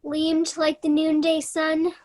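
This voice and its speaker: Casting: earnest, dreamy young fairy-tale girl played by a woman, very high youthful voice, children's theater. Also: completely flat delivery, slow, a little mellow. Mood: bored, earnest. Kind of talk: children's theater staged reading.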